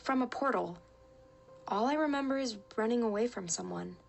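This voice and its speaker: A woman's voice: a little high, normal speed, creaky, faint music behind. A woman playing a curious young stowaway in a sci-fi radio drama, with a questioning manner. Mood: wary.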